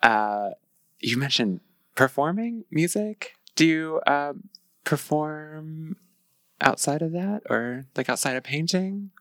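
A man's voice: fairly high pitch, lively intonation, slow, a bit bright, dry recording, clean studio sound. A man hosting a casual interview, asking rambling questions in a playful way. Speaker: interviewer, host.

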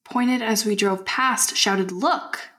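An actress playing a haunted, insistent young woman, narrating an audio diary in an audio drama with deliberate, clipped phrases. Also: mid-range voice, bright, forceful tone. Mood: uneasy, insistent.

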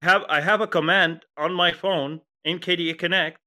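Lebanese-accented man, bright lively chat. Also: sits high. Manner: animated, halting with many fillers and restarts. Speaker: podcast co-host. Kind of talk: casual tech podcast chat.

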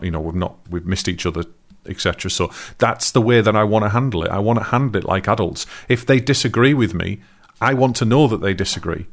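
Deep-voiced earnest man. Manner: thoughtful, earnest, rambling with restarts. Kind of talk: casual chat.

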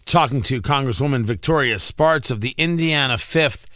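A man hosting a political interview, asking long, deliberate, emphatic questions in halting phrases. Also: mid-range voice, a bit bright, no echo, clean studio sound. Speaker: radio interviewer, host.

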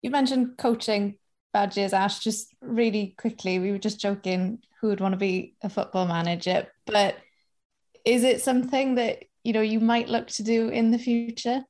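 A woman interviewing a guest, asking a quick, friendly question. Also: fairly high pitch, fairly even intonation, a little mellow.

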